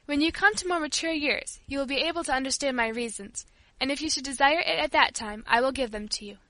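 A woman's voice: high voice, quick pace, bright, forceful tone, dry recording, rough recording. A woman voicing a cold, strict father in an audiobook, speaking in a curt, formal, authoritarian way. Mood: stern, measured. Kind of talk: audiobook character voice.

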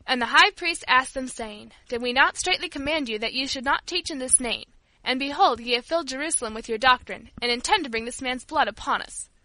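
A woman voicing a stern, quick-tempered father in an audiobook, with a firm, commanding manner. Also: high-pitched, sharp tone, no echo, low-quality recording. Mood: pondering, solemn.

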